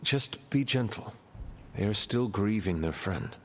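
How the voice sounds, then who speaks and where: An actor playing a solemn, earnest resurrected demon hunter in a video game, speaking formally and with measured phrasing. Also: male voice, lowish voice, animated, slight background noise. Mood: gentle, earnest. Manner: formal, measured, avoids contractions. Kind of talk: video game cutscene acting.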